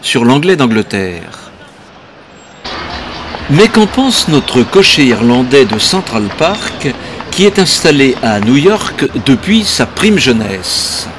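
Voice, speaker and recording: A man giving scripted documentary narration in a measured, explanatory way. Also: mid-range voice, very animated, background noise.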